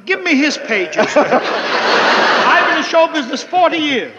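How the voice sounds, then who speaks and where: A man breaking into a scripted radio comedy show with excitable, insistent interjections. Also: very high voice, slow pace. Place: radio theatre stage with studio audience. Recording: very noisy old radio broadcast, hall reverb.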